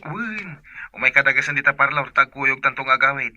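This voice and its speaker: A man's voice: medium pitch, expressive, brisk, dull audio, heavy background noise, close to an everyday voice. A man acting a husband talking to his wife in a radio drama, with a Filipino accent. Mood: insistent. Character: husband announcing his new job to his wife.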